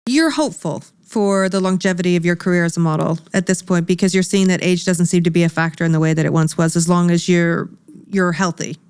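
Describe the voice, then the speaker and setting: A woman's voice: lowish voice, fairly even intonation, a little fast, a little mellow, smooth, no echo, studio quality. A woman hosting an interview, asking probing questions and encouraging her guest. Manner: attentive, encouraging backchannels. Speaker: interviewer, host. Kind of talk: interview conversation.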